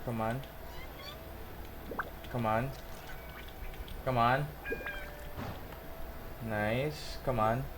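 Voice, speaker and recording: A woman's voice: extremely low pitch, fairly even intonation, very slow, pauses often, noisy background. A woman streamer giving casual gameplay commentary in short, muttered reactions. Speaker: gaming streamer.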